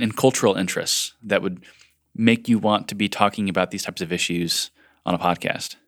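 A man's voice: medium pitch, fairly bright tone, no room sound, studio quality. A man hosting a podcast in a conversational interview style. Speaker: podcast host.

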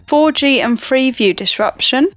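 A woman's voice: high voice, measured pace, a bit bright, no room sound. A woman co-presenting a tech show, with dry, wry humour in her banter.

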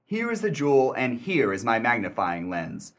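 A man playing a shrewd, suspicious gentleman collector in a radio play, theatrical and wordy, with mock-courteous condescension. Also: mid-range voice, wildly swooping pitch, moderate pace. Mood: smug, condescending. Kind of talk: old-fashioned radio play acting.

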